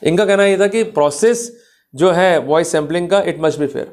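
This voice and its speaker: A man's voice: high voice, moderate pace, a little mellow. A man giving an explanatory law lecture, addressing listeners directly in a didactic way and mixing in English legal terms. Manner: didactic, checks understanding with questions, mixes in English legal terms.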